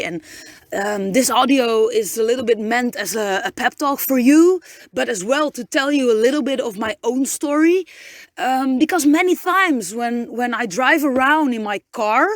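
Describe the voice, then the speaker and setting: A woman's voice: fairly high pitch, dry recording. A young woman with a Dutch accent, a podcast host chatting casually and often restarting as she searches for words. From Netherlands. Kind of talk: casual solo podcast monologue. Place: inside a camper van in the rain.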